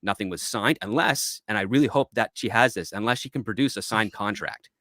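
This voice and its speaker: A man's voice: lowish voice, brisk, pushed and bright, dry recording, clean studio sound. A man giving casual, opinionated commentary as a panelist in a discussion.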